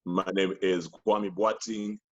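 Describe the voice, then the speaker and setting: Deep husky man on a dull call. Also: takes it slowly, dry recording. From Ghana, raised in the United States. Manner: relaxed, rambling storyteller. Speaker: guest. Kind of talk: casual video-call conversation. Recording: dull, dry video-call audio.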